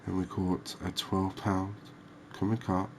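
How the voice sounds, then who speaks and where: A man giving relaxed, unhurried, musing ASMR-style gameplay commentary, chatting to his viewers. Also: deep voice, noisy background. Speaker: host of a cozy fishing game channel.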